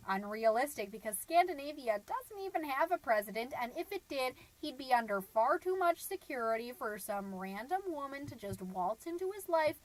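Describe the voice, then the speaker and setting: A woman's voice: high-pitched, big pitch swings, normal speed, hardly any pauses, rough recording. A woman playing a middle-aged suburban stay-at-home mom, gossipy and naive, rambling through a comedic podcast monologue with folksy, dramatic emphasis. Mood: chatty, gossipy. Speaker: podcast host.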